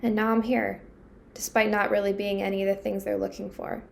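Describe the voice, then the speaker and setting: A woman's voice: medium pitch, little pitch movement, fairly quick, vocal fry, slight background noise. A woman acting an anxious, earnest submarine crew member in an amateur audio drama. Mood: worried.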